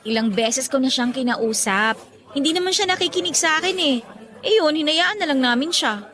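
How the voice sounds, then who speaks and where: A woman's voice: high-pitched, expressive, fairly quick, a bit bright. A woman acting in a radio drama as a burdened young working woman, talking in an earnest, conversational way. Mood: weary, resentful.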